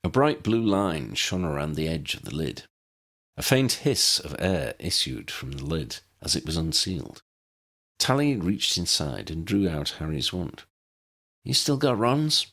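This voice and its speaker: A man's voice: a bass voice, sing-song, a little slow, fairly bright tone. A man reading a scripted story as an audiobook narrator.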